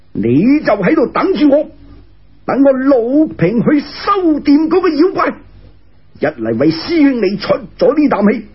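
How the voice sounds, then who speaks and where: A man's voice: very high voice, husky, voice pushed up, full character voice. A man with a Cantonese accent voicing a proud, protective old male dragon in a storytelling tale, boastful and emphatic. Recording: muffled lo-fi radio recording.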